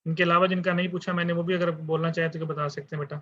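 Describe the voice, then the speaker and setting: Calm fatherly male lecturer on a call. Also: a little high, quick pace. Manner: calm, fatherly, addresses students as beta. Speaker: university lecturer. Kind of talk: online class roll call. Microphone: online class call. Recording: dull lo-fi online call audio.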